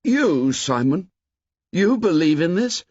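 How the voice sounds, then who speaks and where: Guy voicing a boy leader, firm and insistent, voice pushed up higher, flat dry audio. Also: slow, slightly rough, no room sound, exaggerated voice. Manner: insistent, urging order. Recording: dull, dry recording.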